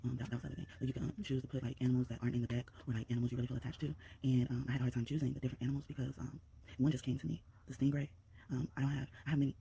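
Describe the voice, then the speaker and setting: A woman's voice: low-pitched, warm tone, a little hiss or hum, poor audio. A young woman giving a casual tarot reading close to the mic, chatty and loose with frequent laughs and fillers, her voice slightly congested. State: slightly congested from a sinus infection. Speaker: tarot reader. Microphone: close to a desk microphone.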